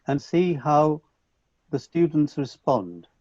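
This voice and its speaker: A man whose first language is Punjabi, giving an online lecture to teachers in a measured, reflective way with frequent restarts, heard over a video call. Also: a little high, lively intonation, darkish tone, no echo. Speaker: conference speaker. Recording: dull lo-fi video call audio.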